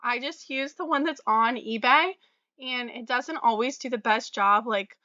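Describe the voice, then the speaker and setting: A young woman vlogger, slightly raspy from a cold, chatting casually about her resale items with fillers and little laughs. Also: a little high, measured pace, pushed and bright, no room sound, dull audio. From Florida. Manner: chatty, friendly, many fillers and small laughs. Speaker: reseller vlogger. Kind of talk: casual talk to camera about sales.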